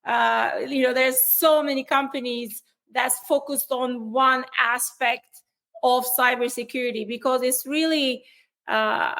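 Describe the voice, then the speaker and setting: A woman's voice: high-pitched, a bit bright. Turkish-accented woman explaining tech at length. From Turkey. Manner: explanatory, many fillers and restarts. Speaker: cybersecurity executive guest. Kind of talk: interview answers.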